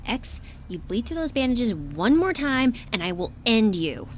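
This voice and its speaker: A woman playing a brisk, wry, blunt spaceship crew member in a science fiction audio drama, talking casually. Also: fairly high pitch, sing-song, a little hiss or hum. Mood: exasperated.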